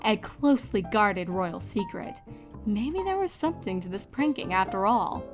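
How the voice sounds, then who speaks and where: A woman's voice: medium pitch, expressive, normal speed, over music. A woman reading a story aloud as a scripted narrator of fan fiction.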